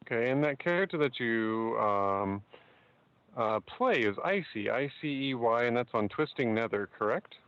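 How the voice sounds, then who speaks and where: A man working as a customer support agent, talking calmly and methodically with some hesitation on a phone call. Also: mid-range voice, normal speed, no room sound. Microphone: telephone line. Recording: muffled lo-fi phone call audio.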